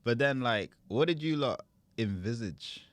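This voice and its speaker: A man's voice: medium pitch, expressive, measured pace, no room sound. A man, a podcast guest chatting casually in a playful, teasing way and laughing often. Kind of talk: casual chat.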